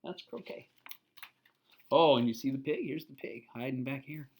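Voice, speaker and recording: A picture book reader giving an expressive children's read-aloud, pausing to explain words simply and ask little check-in questions. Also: medium pitch.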